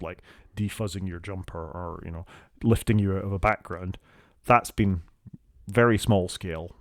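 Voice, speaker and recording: Low-voiced Scottish man, wry and measured. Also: no echo, studio quality. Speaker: podcast co-host. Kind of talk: casual tech chat.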